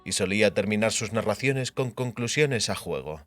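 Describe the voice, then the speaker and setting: A man's voice: lowish voice, animated, moderate pace, fairly bright tone, faint music behind. A man reading fantasy fiction as a scripted audiobook narration.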